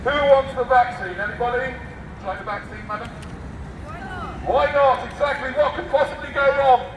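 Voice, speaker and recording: A man's voice: extremely high pitch, normal speed. An English man reading a newspaper aloud in the street with sarcastic, declamatory commentary and muttered asides. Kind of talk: satirical public read-aloud with ad-libbed commentary. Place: outdoor public street. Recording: distant mic outdoors with faint traffic noise.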